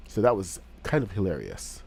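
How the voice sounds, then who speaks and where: Male streamer, slightly low voice, has a cold; loose, rambling play-by-play with fillers. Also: wildly swooping pitch, normal speed, gravelly, a little mellow, slightly rough. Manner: rambling, with frequent fillers and restarts. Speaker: game streamer. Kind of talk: casual live-stream commentary while building.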